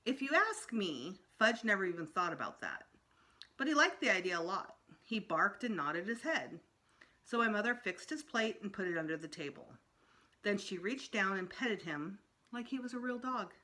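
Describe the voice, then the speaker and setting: A woman reading a children's story aloud as storytime narrator, expressive, with emphatic pauses. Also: medium pitch. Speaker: storytime reader.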